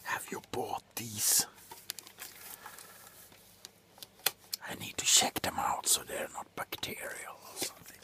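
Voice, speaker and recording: A tipsy man playing a callous, grumpy doctor in a slow, sullen ASMR roleplay, whispering sarcastically up close. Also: natural voice. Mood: sullen, dry. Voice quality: breathy whisper. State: drunk. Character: grumpy, callous, drunken male doctor on a house call. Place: small cluttered room indoors.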